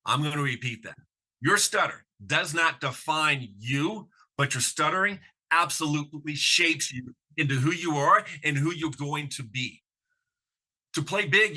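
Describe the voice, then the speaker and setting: Bright-voiced man who stutters. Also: on the high side. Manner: stutters with sound repetitions; emphatic rhetorical questions. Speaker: conference speaker. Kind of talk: motivational talk.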